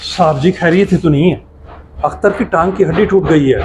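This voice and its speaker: Man playing a high-voiced, sly flattering swindler. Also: noisy background, natural voice. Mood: pleading flattery. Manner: glib, deferential to his victim, self-congratulating. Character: sly, flattering con man cheating a rich employer. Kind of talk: amateur moral-story video skit acting.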